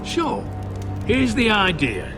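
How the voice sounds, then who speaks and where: Casting: gloating, arrogant middle-aged lord in a fantasy game. Highish, very lively pitch, mocking drawl, music underneath. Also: male voice, exaggerated voice. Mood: smug, mocking. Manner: smug, mocking, slow and drawn-out. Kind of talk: dramatic video game acting. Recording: music behind the voice.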